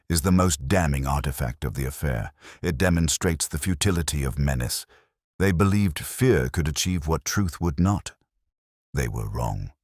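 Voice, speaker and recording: A man's voice: a bass voice, animated, moderate pace, darkish tone, no echo, clean studio sound. A man voicing a pompous, brilliant private detective who speaks in formal, grand pronouncements in an audiobook story. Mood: grave.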